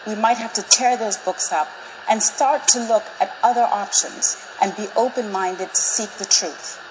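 A woman in her forties, from Zambia, gives a prepared public talk in a measured, persuasive and emphatic way to a live audience. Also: medium pitch, noisy background. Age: 40s. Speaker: speaker. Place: large auditorium with live audience.

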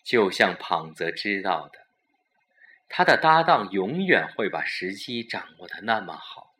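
A man's voice: sits low, big pitch swings, measured pace, bright, forceful tone. A man narrating a suspense audio story in a dramatic storytelling style.

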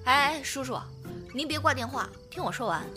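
A woman playing a bold, protective young woman with an expressive, theatrical delivery in a radio drama. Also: high voice, normal speed, over music. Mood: sly, polite. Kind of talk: modern Chinese radio drama.

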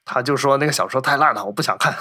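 Bright-voiced man chatting about film. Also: mid-range voice, very fast. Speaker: podcast host. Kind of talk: casual film discussion, telling behind-the-scenes anecdotes.

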